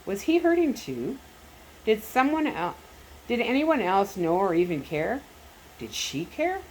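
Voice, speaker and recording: A woman reading a storybook aloud as an expressive narrator. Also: mid-range voice, a little slow, a little hiss or hum, poor audio. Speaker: story reader and host.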